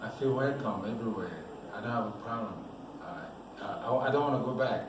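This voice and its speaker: A man from Cameroon, a model telling his life story in a relaxed, rambling way as an interview guest. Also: medium pitch, a little fast. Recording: echoey room, voice from a distance, noisy background.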